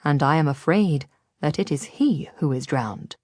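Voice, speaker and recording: A guilt-ridden young man, voice a little shaky, blaming himself in low earnest tones, as a woman reading a storybook plays him. The recording is close and dry. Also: expressive, a little slow, darkish tone, dry recording. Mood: somber. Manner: self-reproaching, shaky.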